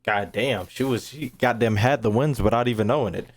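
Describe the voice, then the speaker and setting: A man's voice: medium pitch, brisk. A man streaming in a casual, slangy way, swearing freely and repeating phrases as he reacts to game lore. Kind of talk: casual live reaction chat.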